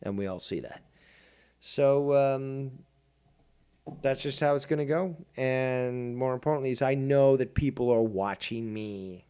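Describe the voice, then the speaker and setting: A man runs his own livestream from behind a desk mic, pitched right in the middle. He talks in a combative, mocking way and swears freely. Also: measured pace, warm tone, clean tone. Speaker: streamer host. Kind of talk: livestream commentary.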